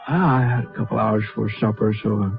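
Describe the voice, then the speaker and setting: An actor with an American accent playing an easygoing, courteous cowboy gunfighter in a radio western, with a folksy, hesitant, stammering delivery. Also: male voice, on the low side, fairly quick, vocal fry, faint music behind. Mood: weary, narrating. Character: easygoing, soft-hearted drifting cowboy gunfighter, courteous to ladies. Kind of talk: old-time radio western drama acting. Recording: muffled, thin vintage radio recording.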